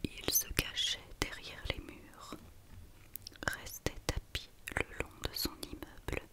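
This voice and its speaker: A woman whispering a children's story in a breathy, gentle, soothing ASMR reading. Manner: slow, soothing, gentle delivery.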